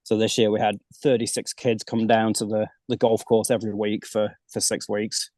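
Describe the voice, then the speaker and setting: A man's voice: on the low side, flat delivery, moderate pace, a little mellow, no room sound. A man from the UK, a golf coach living abroad, chatting as an interview guest and often restarting his sentences. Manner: chatty, many restarts and repeated words. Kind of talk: casual interview chat.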